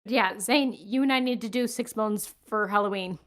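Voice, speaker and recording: A woman's voice: mid-range voice, moderate pace. A woman chatting casually as a commentator and voice actor on a live show.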